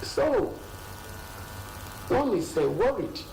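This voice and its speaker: An older man with a Nigerian accent, a linguistics professor speaking as a studio guest in a slow, measured way with many pauses. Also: sits high, very animated, gravelly, a little mellow. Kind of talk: studio interview. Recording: roomy and a bit distant, faint noise.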